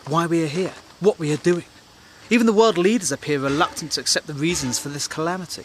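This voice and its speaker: Guy playing polite english war reporter, lightish higher voice, wry n earnest, radio drama, bit of bg noise. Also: fast talker, natural voice. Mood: earnest, probing. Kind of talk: period audio drama acting.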